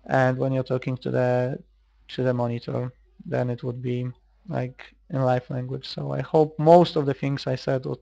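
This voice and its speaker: A man's voice: medium pitch, creaky, a little mellow, no room sound, lo-fi sound. A man from Poland with a Polish accent giving casual, hesitant live commentary on a card game, thinking aloud as he plays. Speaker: online card game player recording gameplay.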